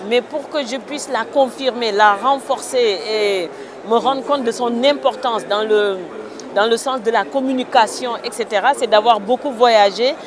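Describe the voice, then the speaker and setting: Woman from senegal, author in an interview, slow thoughtful answers full of euhs, a bit high voice, crowd chatter outside. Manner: thoughtful, hesitant with many fillers, vivid expressive exclamations. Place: outdoors in a town. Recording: crowd noise outdoors.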